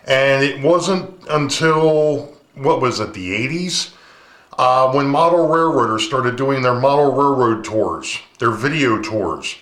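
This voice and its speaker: A man hosting his own hobby channel, talking casually to his camera with a booming voice, rambling and full of ums, recorded on a camcorder's built-in mic. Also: fairly bright tone. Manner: rambling, chatty, many ums. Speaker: model railroad channel host. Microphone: camcorder's built-in mic, no lapel mic. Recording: echoey room.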